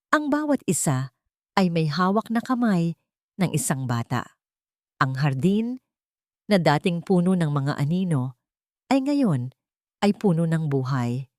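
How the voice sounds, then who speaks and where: Low-pitched Filipino woman narrating, close and dry. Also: darkish tone, no room sound. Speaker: audiobook narrator. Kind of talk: scripted romantic drama narration.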